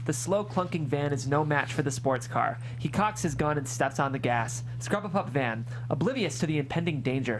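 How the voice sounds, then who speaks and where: A woman narrating stage directions from a screenplay at a table read. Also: low voice. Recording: clear background hum and noise.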